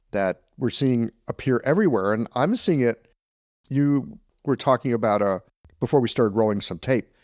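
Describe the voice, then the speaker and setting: A man's voice: medium pitch, a little mellow, dry recording, studio quality. A man hosting a podcast interview, asking questions in a reflective, musing way. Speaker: podcast host.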